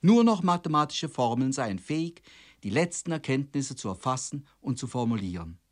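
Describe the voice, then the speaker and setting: A man delivers a scripted lecture with a formal, didactic manner. His pitch moves in a lively way as he gives weight to key words, and his voice sits in a middle register. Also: moderate pace. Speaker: presenter of a radio lecture. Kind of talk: scripted lecture on literature and radio drama.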